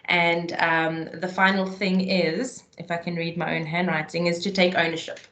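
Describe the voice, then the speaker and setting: A woman wraps up a webinar as moderator, thanking the speakers and filling her pauses with "um". Her voice sits on the lower side for a woman and rings in an echoey room. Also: level tone, muffled recording. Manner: warm thanks, many "um" fillers. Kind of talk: closing remarks.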